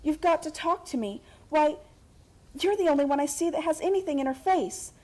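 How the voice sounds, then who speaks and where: A woman's voice: high-pitched, a little fast, a little mellow, close to an everyday voice. A woman playing an earnest, headstrong young heiress of a factory family in a radio play, speaking insistently. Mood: earnest pleading. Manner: earnest, insistent, pleading to commanding.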